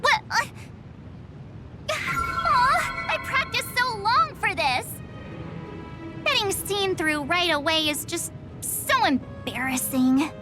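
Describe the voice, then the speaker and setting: A woman's voice: very high-pitched, animated, slow, long pauses, full character voice. A woman voicing a playful, theatrical young woman in a video game. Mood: sheepish, cheerful. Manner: theatrical, girlish. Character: playful young woman posing as solemn time chronicler. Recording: loud music behind the voice.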